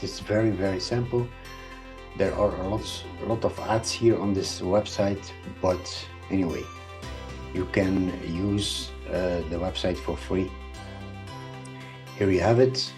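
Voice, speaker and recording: Low slow man with Dutch native tongue. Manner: hesitant, many ums and uhs. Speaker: tutorial presenter. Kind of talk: screen-recorded software tutorial.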